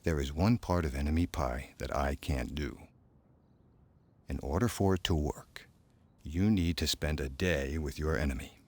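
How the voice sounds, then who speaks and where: A man acts out a storybook dad with a very low, hushed voice. He is calm and sly, confiding his secrets deliberately, as if letting his child in on a plan.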